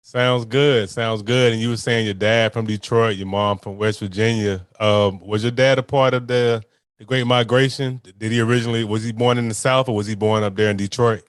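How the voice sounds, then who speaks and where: A man's voice: on the low side, flat delivery, normal speed, smooth, dry recording. A man hosting a podcast, talking in an upbeat, slangy, conversational way. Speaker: podcast host. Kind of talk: casual podcast talk.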